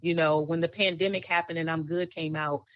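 A woman guest singer, chatting in an interview in a relaxed, storytelling way, laughing often. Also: sits low, brisk, dry recording, dull audio. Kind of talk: casual interview chat.

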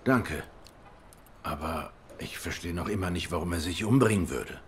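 A cool private eye from a noir game. His voice is on the low side, measured and courteous, over faint background noise. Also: animated. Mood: calm, probing. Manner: measured, polite questioning. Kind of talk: noir video game dialogue.

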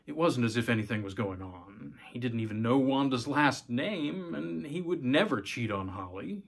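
A man's voice: medium pitch, lively intonation, normal speed, vocal fry, husky. A man in his forties reading a short story aloud as scripted narration. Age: 40s. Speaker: story reader, host. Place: small room at home.

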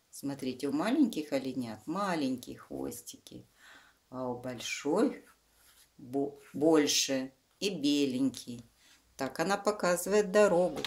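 A woman reading a children's story aloud, explaining things simply to young listeners with fond diminutives. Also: extremely low pitch. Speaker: children's book reader, channel host.

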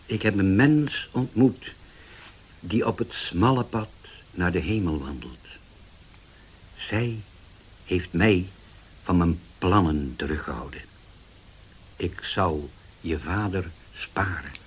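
Old uncle in a radio play, real deep and husky, talks slow, half whispers sometimes. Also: male voice, lively intonation, full character voice. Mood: grave. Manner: slow, deliberate, dropping to near-whispers. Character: old uncle, formerly dangerous, now solemn and changed. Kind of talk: 1970s radio drama acting.

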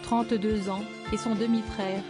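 Flat-toned female news narrator over music. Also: mid-range voice, normal speed. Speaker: narrator of a celebrity news video. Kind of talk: scripted narration. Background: background music.

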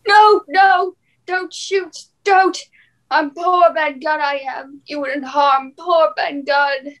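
A high-pitched castaway man, played by a woman in a radio play. He is scrawny and pleading, half-wild from long isolation, and talks in folksy, repetitive sailor phrases. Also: steady tone, slow, a bit bright, heavily acted. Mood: frightened, pleading. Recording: remotely recorded home performance.

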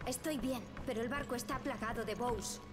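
Woman acting composed female agent in a video game, highish voice, music loud behind. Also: a little fast, lightly acted. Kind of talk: dubbed video game dialogue.

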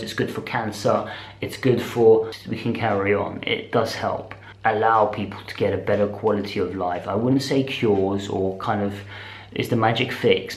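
A British man in his twenties talking casually to camera in a rambling, slangy vlog style, speaking in animated bursts. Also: fairly low pitch. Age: 20s. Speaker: vlogger. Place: small indoor room with bare walls. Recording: echoey, roomy sound.

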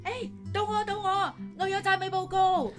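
An actress playing an excitable, grateful, devout woman, emotional in a Hong Kong Cantonese radio drama. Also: very high voice, normal speed, faint music behind. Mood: emotional gratitude.

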